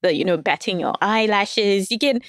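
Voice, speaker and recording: A woman's voice: medium pitch, normal speed, dry recording. Candid laughing woman guest, dry close mic. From Zimbabwe. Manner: laughs often, candid, hedging. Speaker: guest writer. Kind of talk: casual podcast chat.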